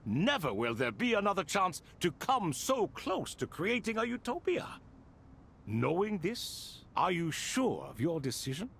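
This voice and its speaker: An actor playing an ancient, grand and wry elder in a video game, speaking in theatrical, courtly phrases. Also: male voice, sits high, big pitch swings, unhurried, gravelly, a bit bright, a little hiss or hum, heavily acted. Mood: grand, solemn. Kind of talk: game voice acting.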